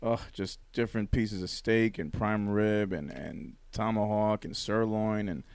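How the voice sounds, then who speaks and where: A man's voice: lowish voice, measured pace, a little mellow, clear, resonant tone, no room sound. A young adult man co-hosting a podcast, telling stories in a laid-back, profane, casual way. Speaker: podcast co-host. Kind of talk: casual chat. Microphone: close studio mic.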